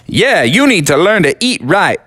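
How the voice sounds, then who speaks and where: A man voicing a bossy male chicken drumstick leader in a children's storybook, raising his voice and lecturing in a booming tone. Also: big pitch swings, moderate pace, a bit bright, breathy edge, heavily acted. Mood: stern.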